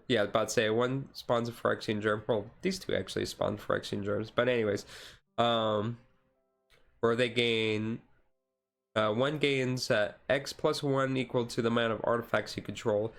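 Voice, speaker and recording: A man streaming casual, chill card game commentary in a halting, rambling way with frequent asides. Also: medium pitch, fairly bright tone. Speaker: streamer host.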